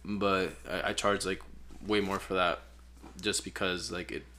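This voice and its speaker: A man's voice: low voice, a little slow. A man in his twenties from Peru, a photographer chatting casually in a podcast interview, rambling with lots of "like" fillers. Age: 20s. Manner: relaxed, rambling, many "like" fillers. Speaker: photographer guest.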